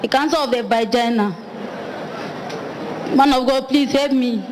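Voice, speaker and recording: A woman's voice: high voice, unhurried. A woman from Cameroon making a public plea for prayer in church. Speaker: congregant pleading for prayer. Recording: reverberant hall, noisy.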